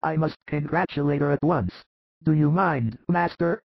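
A man voicing a proud, doting fisherman father in a gravelly, husky voice for a dramatized scripture story. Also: on the high side, moderate pace, dry recording, muffled recording, voice pushed up. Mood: proud, delighted.

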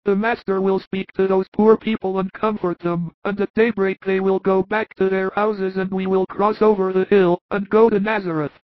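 A man voicing an eager, good-humored male apostle who talks in a lively, reassuring way. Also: sits high, moderate pace, hardly any pauses, no echo, muffled recording, pitched above the performer's own voice, natural voice. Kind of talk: audiobook reading.

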